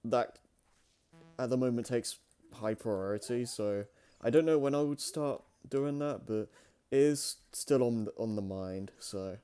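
A man's voice: medium pitch, unhurried, mellow, clean tone. A man co-hosting a show in casual, unscripted chat, with a hesitant, rambling way of talking. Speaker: co-host of a fan fiction reading show.

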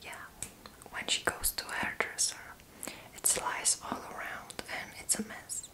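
A young adult woman doing an ASMR roleplay in a breathy, unvoiced whisper, with a sassy, playfully deadpan manner. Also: unhurried, low-quality recording. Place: small room indoors.

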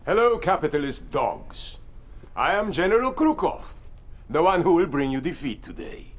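Haughty, high-pitched Soviet general. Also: male voice, sing-song, normal speed. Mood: menacing gloating. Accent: Russian. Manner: pompous, threatening. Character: menacing male Soviet general, haughty and theatrical. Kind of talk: acted game cutscene.

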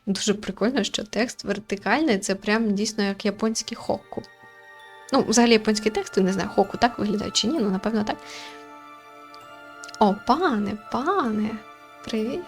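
Chatty lower-voiced woman over game music. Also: moderate pace. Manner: chatty, informal, thinking aloud. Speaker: gaming channel host. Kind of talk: casual let's-play commentary and reading aloud.

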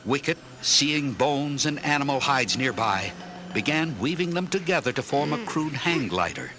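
A man's voice: mid-range voice, expressive, normal speed, background noise. A man narrating a children's story in a scripted, dramatic style.

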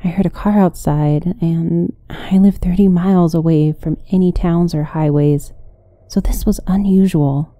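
A woman's voice: lowish voice, steady tone, unhurried, warm tone, dry recording. A woman narrating a scripted first-person horror story.

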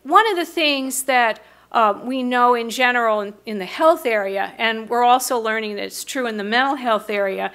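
Middle-aged woman, American accent, high-pitched, explanatory, public meeting presentation, podium mic. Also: moderate pace, fairly bright tone. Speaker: mental health board member presenting to city council. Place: large council meeting room, indoors. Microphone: podium microphone.

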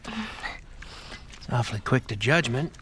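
A middle-aged survivor leader in a game drama. His speech is measured and persuasive, with calculated menace and widely moving pitch. Faint noise sits behind him. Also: very animated, fairly bright tone. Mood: calm, menacing. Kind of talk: dramatic video game cutscene acting.

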